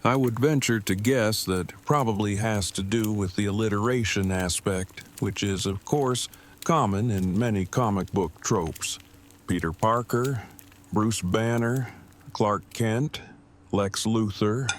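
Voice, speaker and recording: A man acts out an earnest, devoted bridegroom in an audio drama. His voice sits low, and he talks in long, precise, formal sentences at a measured, bookish remove. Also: slight background noise, lightly acted. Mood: formal, thoughtful.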